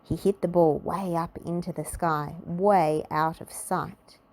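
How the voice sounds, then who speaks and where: A woman reads a children's story aloud. Her voice sits a little low, and she tells the tale expressively, in measured storybook narration. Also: fairly even intonation, darkish tone.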